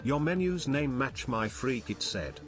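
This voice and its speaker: A man's voice: medium pitch, lively intonation, unhurried, fairly bright tone, faint music behind. A man's synthetic text-to-speech voice reading out written viewer messages. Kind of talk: read-aloud viewer message.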